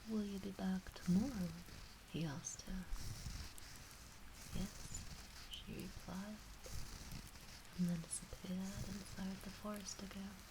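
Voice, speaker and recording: Woman playing a hushed, slow-speaking gentle fox witch. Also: medium pitch, fairly even intonation, noisy background. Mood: tender, wistful. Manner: hushed, breathy, measured with long pauses. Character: gentle vixen witch telling a sad folk tale. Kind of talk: slow, soft ASMR roleplay storytelling, often whispered.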